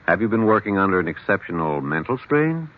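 An actor playing a stern, probing psychiatrist in a 1940s radio drama, with measured clinical questioning on a muffled old recording. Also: male voice, deep voice, very animated. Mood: probing, detached.

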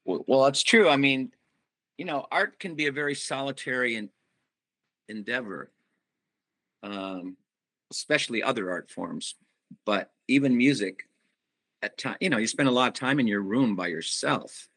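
A middle-aged man, a band singer, giving reflective interview answers and pausing often to find his words. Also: mid-range voice, fairly bright tone, slightly rough. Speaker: musician guest, band singer.

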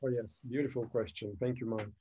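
A man of about fifty from Bulgaria, answering interview questions in halting, phrasing and pausing to find his words. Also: mid-range voice, steady tone, warm tone, husky, dull audio. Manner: halting, non-native phrasing, pauses to search for words. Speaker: guest.

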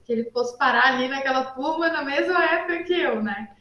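A woman's voice: high-pitched, a little fast, pushed and bright. A woman, a podcast guest, recounting memories in a reflective, amused way, with frequent "né?" tags. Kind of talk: casual storytelling. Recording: roomy echo.

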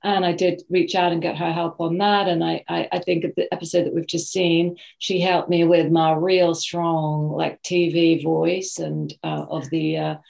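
A woman's voice: fairly low pitch, flat delivery, moderate pace, vocal fry, hardly any pauses, darkish tone. A woman from New Zealand with a British RP accent, an actress thoughtfully answering interview questions and searching for her words. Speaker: actress guest.

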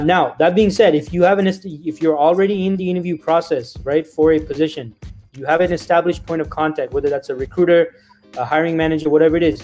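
A man with a slightly high voice talks rapidly as a recruiter co-hosting a career livestream. He explains in an emphatic way, restating points to stress them. Faint music sits behind his voice. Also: a little mellow. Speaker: staffing recruiter and show co-host. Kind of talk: livestream career-advice discussion.

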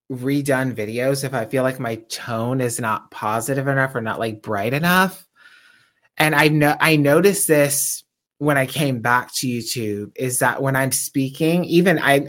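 A man co-hosting a podcast in casual chat. He has a naturally high voice and talks reflectively, growing animated when he stresses a point. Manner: reflective, self-aware, animated when emphatic. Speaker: podcast co-host.

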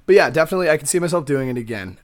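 A young man co-hosting a casual podcast, excitable and laughing often. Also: fairly high pitch, rapid-fire. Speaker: podcast co-host.

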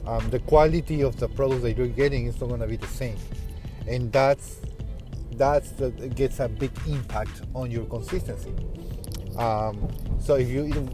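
Hesitant man with a thick Peruvian accent. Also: medium pitch. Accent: Peruvian Spanish. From Peru. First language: Spanish. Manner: hesitant, many fillers and restarts. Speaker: podcast host, restaurant manager. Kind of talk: casual podcast monologue. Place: in a car while driving.

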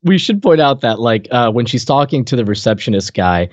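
A man's voice: mid-range voice, animated, a little fast, dry recording. A man co-hosting a podcast in casual chat, eagerly jumping in and restarting his sentences. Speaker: podcast co-host. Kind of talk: casual chat recapping a comedy show. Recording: dry, slightly dull podcast audio.

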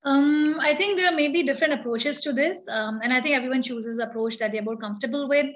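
Woman, interview guest; slightly high voice, hesitant, thinks aloud. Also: fairly quick, a bit bright. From India. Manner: thoughtful, hesitant, many ums and uhs. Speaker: guest, architect and founder of a design collective. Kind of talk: reflective interview answer.

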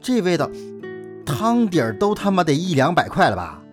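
A brash, low-voiced, teasing friend. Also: female voice, sing-song, moderate pace, over music. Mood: playful, brash. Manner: cheeky, mock-boastful, slangy. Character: brash, teasing, bossy best friend of young actor. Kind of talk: modern radio drama acting.

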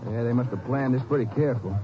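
An actor playing a relaxed male Ranger partner in a 1950s radio drama, on a lo-fi broadcast recording. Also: medium pitch, fast talker, background noise, lightly acted. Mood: easygoing. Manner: relaxed, conversational. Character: easygoing male Ranger sidekick.

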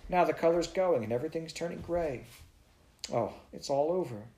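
Storybook: man voicing a bright, eager little girl, high and lively, breathless with wonder. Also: normal speed, low-quality recording. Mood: excited wonder. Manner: breathless, wondering, raised pitch.

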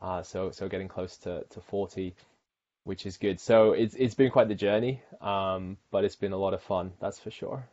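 Uk guy, deep even voice, chatting about his career on a slightly dull call line. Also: moderate pace. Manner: relaxed, fluent with frequent fillers and restarts. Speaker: marketing director, guest. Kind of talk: casual interview talk.

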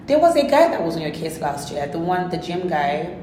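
A woman's voice: fairly low pitch, noisy background. Young woman protesting in chatty banter. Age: young adult. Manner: expressive, quick to protest and push back. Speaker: podcast co-host. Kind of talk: casual chat. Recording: roomy echo with faint ambience.

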